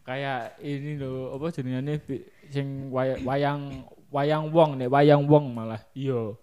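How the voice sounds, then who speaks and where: A man with a Javanese accent, talking in a casual, joking and animated way as a discussion host. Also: mid-range voice, takes it slowly, smooth. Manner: animated, joking, mimics others in put-on voices. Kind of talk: casual chat mixing regional speech and Indonesian.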